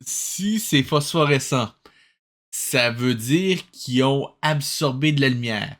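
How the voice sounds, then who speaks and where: A man's voice: mid-range voice, animated, takes it slowly, a bit bright. A man with a Quebec French accent giving sarcastic, nitpicking reaction commentary, dropping in English phrases. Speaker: host of a cartoon review show.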